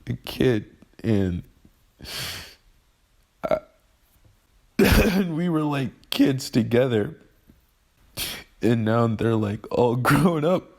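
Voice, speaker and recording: A man of twenty-one talks to camera in a halting, emotional way, often restarting his sentences as he vents about growing up. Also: medium pitch, lively intonation. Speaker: vlogger. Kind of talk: personal venting monologue.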